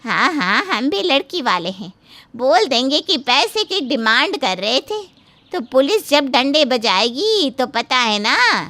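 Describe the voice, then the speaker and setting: A very high female voice plays a scheming, demanding in-law , acted by a woman. She is dramatic and pushy, whining and threatening in the manner of a family moral story. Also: big pitch swings, slow pace, sharp tone, heavily acted. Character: scheming, shrill mother of the bride and greedy threatening daughter. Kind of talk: animated moral-story dubbing.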